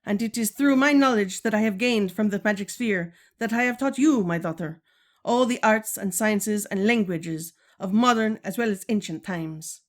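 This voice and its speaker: A regal Amazon queen, played by a woman, speaks with stately pride. She is formal and measured, like a mother instructing her daughter in a radio serial, at a middling female pitch. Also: close to an everyday voice. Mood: proud, stately. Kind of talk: 1940s-style radio serial acting.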